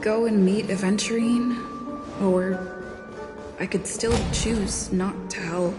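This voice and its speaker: An earnest young heroine of a video game, played by a woman, thinks aloud with doubt. Her voice is mid-pitched and hesitant, with music clearly behind it. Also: fairly even intonation, takes it slowly, natural voice. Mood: pensive, doubtful.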